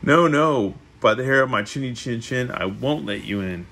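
A man's voice: mid-range voice, lively intonation, moderate pace, bright, forceful tone. A man voicing a young little pig from a storybook, with emphatic, chanted delivery. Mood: defiant.

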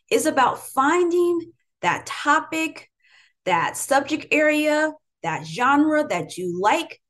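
A middle-aged American woman, an educator, giving an emphatic motivational talk to students. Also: medium pitch. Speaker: educator, interviewer. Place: home room with bookshelves, indoors.

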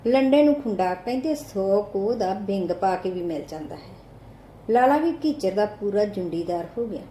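A woman's voice: medium pitch, expressive, normal speed, warm tone. A woman with an Indian Punjabi accent narrating a short story from a script, as in an audiobook. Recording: roomy echo, faint background noise.

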